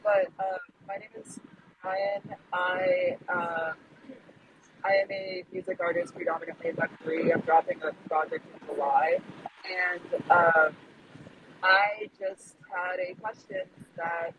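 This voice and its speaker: Male caller, high voice, halting casual delivery, phoning from a car with clear background noise. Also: level tone. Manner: halting, tentative. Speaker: audience caller, music artist. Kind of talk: casual question on live audio chat. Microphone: phone, held far away. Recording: noisy phone call from a car.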